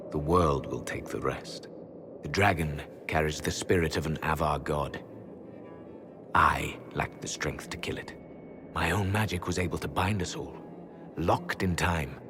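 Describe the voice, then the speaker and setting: An actor playing a weary, solemn old elven hunter-mage in a video game, speaking in a grave, measured, formal way. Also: male voice, a bass voice, over music. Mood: weary, resigned. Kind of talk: dramatic video game character acting.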